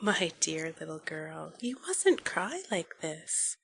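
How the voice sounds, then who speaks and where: A woman voicing a kind, gentle minister's wife who speaks softly and reassuringly. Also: medium pitch, sing-song, a little fast, natural voice. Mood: soothing.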